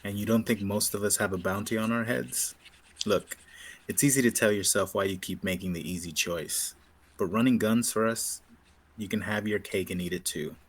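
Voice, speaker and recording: A man reading a composed, persuasive rebel officer with a husky voice in a sci-fi table read. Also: on the low side.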